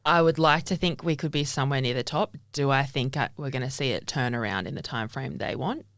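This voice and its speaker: Casting: Australian woman, 30, rapid casual delivery, candid athlete in conversation, very dry sound. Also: very deep voice, fairly bright tone, no room sound. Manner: frank, informal, peppered with quoted asides. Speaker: elite triathlete, podcast guest.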